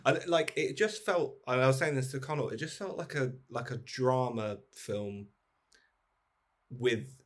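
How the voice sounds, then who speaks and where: A man's voice: mid-range voice, a little slow. A man in an informal, rambling, sweary chat about films, acting out imagined lines as he goes. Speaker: podcast host. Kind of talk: casual film chat.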